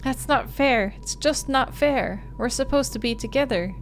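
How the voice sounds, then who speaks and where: A woman's voice: high voice, measured pace, slight background noise, pitched above the performer's own voice, low-quality recording. A woman voicing a distressed, protective big sister, the emotional heroine of a visual novel. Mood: despairing. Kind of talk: visual novel dialogue read aloud.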